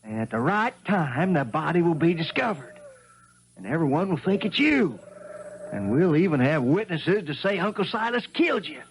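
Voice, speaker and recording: An actor playing a cruel, smooth-talking younger country brother in a 1970s radio mystery drama, stammering as he schemes. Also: fairly high pitch, very animated, normal speed. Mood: cold scheming. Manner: nice, pleasant talk masking menace, hesitant stammers. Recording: noisy old radio broadcast.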